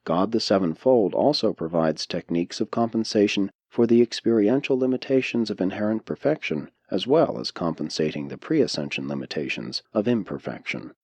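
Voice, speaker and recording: Deep-ish guy reading a spiritual audiobook, formal and measured, really dry studio sound. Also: lively intonation, darkish tone, husky, dry recording. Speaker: audiobook reader. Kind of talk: scripted audiobook narration of a spiritual text.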